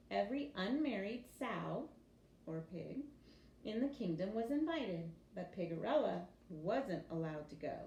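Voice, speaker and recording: Woman reading a storybook, animated, roomy echo. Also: mid-range voice. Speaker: teacher reading to young children. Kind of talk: expressive children's storybook read-aloud. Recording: roomy echo with faint background noise.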